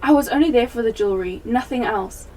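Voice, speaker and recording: A woman's voice: fairly high pitch, fast talker, mellow, a little hiss or hum, natural voice. A teenage woman playing a defensive, pleading burglary suspect in an amateur radio play. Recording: distant from the microphone.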